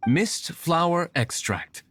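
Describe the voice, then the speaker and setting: An actor playing an aloof, brooding young nobleman in an anime video game, speaking smoothly with formal, composed, coolly disdainful diction. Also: on the high side, animated, takes it slowly, fairly bright tone, no room sound, faint music behind, heavily acted. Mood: calculating.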